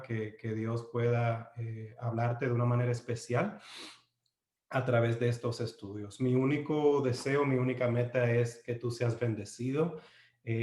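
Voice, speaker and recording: A man's voice: medium pitch, a little mellow. A Dominican man raised in the United States, with a Dominican Spanish accent shaped by English, leads a live-streamed Bible study from his home. He speaks unhurriedly and hesitantly, with many "eh" fillers and restarts, and ends points with a questioning "¿no?". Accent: Dominican Spanish with US English influence. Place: at home near the kitchen. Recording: roomy home kitchen echo.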